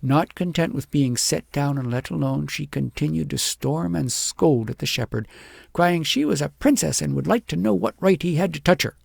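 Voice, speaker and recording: A man reading a children's story aloud as a scripted, expressive audiobook narrator. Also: fairly high pitch, moderate pace, vocal fry, breathy edge, no room sound, studio quality.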